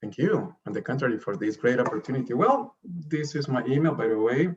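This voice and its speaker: A man giving a slide presentation as a director at an online summit, speaking haltingly and often repeating and rephrasing his words. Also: medium pitch, expressive. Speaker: investment promotion director presenting. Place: remote online video call. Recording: dull, lo-fi video call audio.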